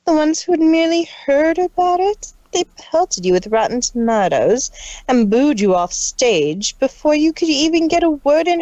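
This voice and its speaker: A woman's voice: darkish tone, dry recording, highs cut off. Woman as cold, cruel scheming pony sister. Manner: low, deliberate, ice-cold delivery.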